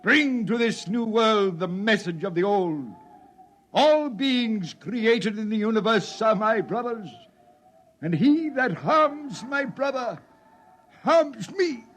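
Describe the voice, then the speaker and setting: A dying old prophet. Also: male voice, sits high, expressive, slow pace, fairly bright tone, heavily acted. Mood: exalted. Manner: solemn, biblical preaching cadence. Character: dying old male prophet leading Martians, wild-bearded. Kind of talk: 1950s radio drama acting.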